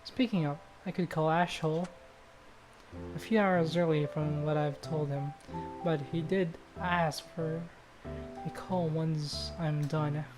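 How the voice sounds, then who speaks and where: A woman's voice: deep voice, steady tone, slow, music in the background, rough recording. A woman streamer reading visual novel narration aloud, now and then stumbling and rereading phrases.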